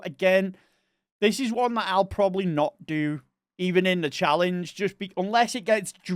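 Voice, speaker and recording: A man with a Northwest English accent that blends Manchester and Liverpool sounds chats casually as a streamer, rambling and correcting himself mid-sentence. His voice sits a little high and sounds smooth and clean. The recording is dry and close, with no room sound. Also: dry recording. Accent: Northwest English, mix of Manchester and Liverpool. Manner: rambling, chatty, self-correcting. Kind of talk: casual live-stream chat reading viewer messages.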